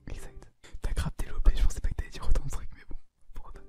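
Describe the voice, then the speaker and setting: A young adult whispers a casual anime review as co-host, in informal youth slang. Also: racing. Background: music.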